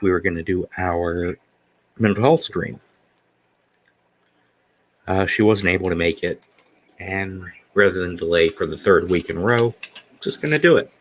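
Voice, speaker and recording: A man in his forties chats slowly on his live stream, his deep voice carrying a bright, forceful edge. He rambles and hesitates, filling the gaps with plenty of "uh"s. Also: a little hiss or hum, rough recording. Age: 40s. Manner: rambling, hesitant with many fillers. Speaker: stream host. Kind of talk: casual live-stream chat.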